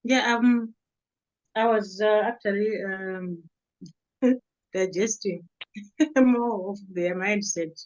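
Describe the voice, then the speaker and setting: A woman taking part in a group discussion, speaking hesitantly with many pauses as she asks a thoughtful question. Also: medium pitch, a little mellow, no echo, lo-fi sound. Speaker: group participant.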